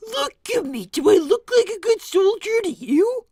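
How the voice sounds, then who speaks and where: A woman's voice: very high voice, sing-song, normal speed, a little mellow, no echo, studio quality, exaggerated voice. A woman voicing a brainy, sensitive young boy fox in an improvised cartoon livestream, earnest, hesitant and easily exasperated. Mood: exasperated, protesting. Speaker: character performer on a livestream. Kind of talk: improvised in-character conversation.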